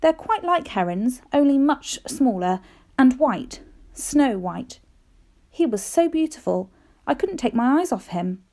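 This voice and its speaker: A woman reading a children's storybook aloud in an expressive, careful storytelling manner, with dramatic emphasis. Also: sits high, measured pace. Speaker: teacher reading aloud to pupils.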